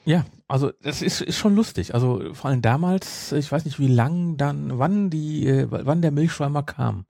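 A man's voice: fairly high pitch, moderate pace, gravelly, few pauses, mellow, dry recording, clean studio sound. A middle-aged man co-hosting a podcast in casual, amused banter, often rephrasing as he goes. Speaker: podcast co-host. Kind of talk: casual banter about an audio drama series.

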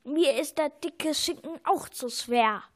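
Woman as a squeaky, grumpy little bookworm. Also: slow. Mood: whiny, hurt. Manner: whiny, indignant. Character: grumpy male bookworm, small and bossy. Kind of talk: children's audio story acting.

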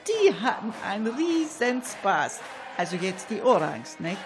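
A woman's voice: medium pitch, sing-song, a little slow, music in the background, a crowd in the background. A woman telling a radio crime story in a colloquial storytelling style, as its narrator.

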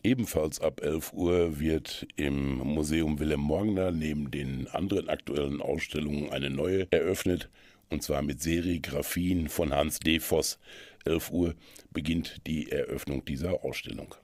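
A man hosting a radio culture programme, presenting event listings in a measured, explanatory way. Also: extremely low pitch, fairly even intonation, darkish tone, no room sound.

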